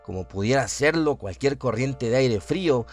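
A man giving a solemn, theatrical narration of a horror radio drama, with deliberate phrasing. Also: medium pitch, lively intonation. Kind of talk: dramatic scripted horror narration, audiobook-like reading. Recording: music under the voice. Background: atmospheric music bed.

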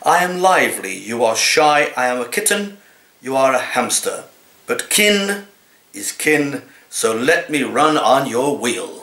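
Man in his sixties, unhurried dramatic poetry reading, expressive, medium pitch, roomy home recording. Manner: deliberate, theatrical, expressive delivery in a cat's persona. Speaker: actor reading poems. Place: small living room, indoors.

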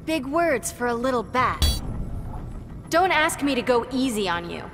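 A woman's voice: high voice, sing-song, unhurried, noisy background. A woman playing a plucky young superheroine in a video game, confident, flippant and defiant. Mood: defiant, sassy. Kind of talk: video game character acting.

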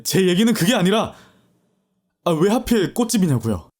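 A man's voice: high voice, sing-song, normal speed. A young man playing a troubled university student, talking hesitantly with frequent stammers. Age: young adult. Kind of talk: audio drama acting.

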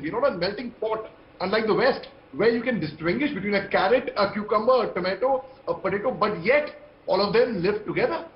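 A man with an Indian accent giving emphatic, impassioned, repetitive commentary as a panelist on a TV debate over a video call. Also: high-pitched. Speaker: TV debate panelist, army veteran. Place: indoor room on video call. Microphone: video call microphone. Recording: muffled, echoey video-call audio.

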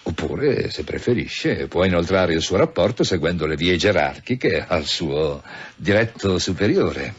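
An actor in an Italian radio drama playing a pompous senior police official, mock-polite and deliberate, with veiled menace. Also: male voice, low-pitched, lively intonation, slightly rough, heavily acted. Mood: pompous, persuasive. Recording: dull, muffled radio drama recording.

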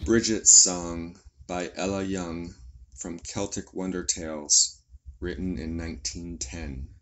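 A man reading poems aloud in a solemn, deliberate way. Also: low voice, fairly even intonation, a bit bright, dull audio, slight background noise. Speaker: poetry reader. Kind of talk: slow poetry recitation.

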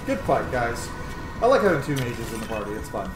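A man's voice: medium pitch, big pitch swings, brisk. A man in his thirties giving casual let's play commentary on a video game. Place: small room indoors. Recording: roomy sound with game audio behind. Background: game music.

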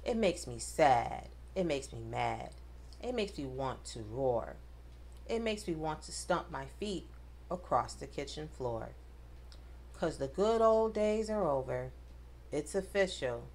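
A woman reading a rhyming picture book aloud to children, with expressive, dramatic emphasis. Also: sits low, slow. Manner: addresses children directly, dramatic emphasis on rhymes.

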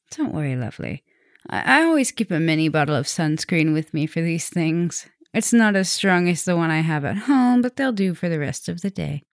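A soft-spoken, dominant female vampire professor, acted by a woman in an intimate ASMR roleplay. She takes her time, murmuring affectionately and teasingly with a gravelly fry. The sound is close and very dry. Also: low voice, no echo. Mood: apologetic, coaxing.

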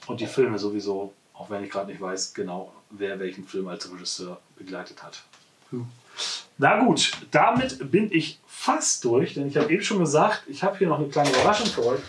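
A man's voice: fairly high pitch, noisy background. Man presenting casually in an echoey room. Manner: conversational, rambling with self-corrections. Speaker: host of a weekly shop video show. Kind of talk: casual product presentation to camera. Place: small, cluttered indoor room, seated at a desk. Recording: roomy indoor echo.

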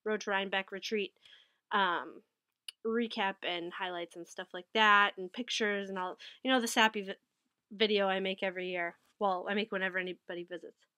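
A woman's voice: mid-range voice, steady tone, normal speed, sharp tone, smooth, no room sound. Chatty woman with a stuffy head cold. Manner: chatty, rambling, self-correcting. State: head cold, a bit nasal. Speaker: knitting vlogger. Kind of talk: casual haul and recap vlog. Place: small wood-paneled room with bookshelves. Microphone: webcam at desk.

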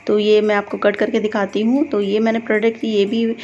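A woman's voice: medium pitch, flat delivery, a little fast, a little mellow. A woman giving informal step-by-step instructions as a cooking channel host. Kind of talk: recipe voice-over, step-by-step instruction.

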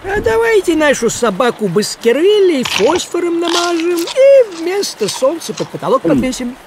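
A man voicing a grumpy, thrifty, sarcastic male farm cat in an animated cartoon, with a theatrical, grumbling manner. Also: very high-pitched, moderate pace, noisy background, heavily acted.

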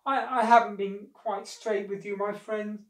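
A storyteller voicing a soft-hearted male crocodile, flustered and hesitant, for a folk tale. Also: mid-range voice, big pitch swings, moderate pace, pitched above the performer's own voice, lightly acted. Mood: guilty. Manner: stammering, hesitant. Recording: roomy echo, distant microphone.

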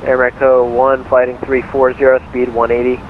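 A man is directing aircraft as a controller over a narrow, muffled radio channel. His phrasing is clipped and procedural, delivered quickly, with a steady drone of noise behind it. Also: mid-range voice. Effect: radio transmission. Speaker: air traffic controller. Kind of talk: radio air traffic instructions.

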